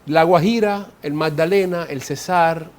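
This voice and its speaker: A man's voice: on the high side, unhurried. Colombian Caribbean-accented man explaining at length. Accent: Colombian Caribbean coastal Spanish. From Colombian Caribbean coast. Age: 40s. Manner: explanatory, repeats words while searching for them. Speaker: guest. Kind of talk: conversational interview explanation.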